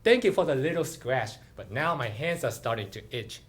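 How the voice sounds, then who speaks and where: A man voicing a gloating, taunting evil witch in dark armor for a fairy tale. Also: medium pitch, expressive, moderate pace, close to an everyday voice.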